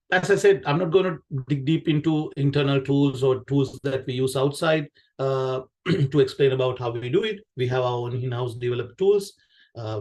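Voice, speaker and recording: A man's voice: mid-range voice, darkish tone. A man with a Sri Lankan accent, a native Sinhala speaker, presenting technical material informally, repeating phrases and often checking in with questions. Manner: conversational, repeats phrases, poses questions and tags them with "right?". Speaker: QA director giving a conference session.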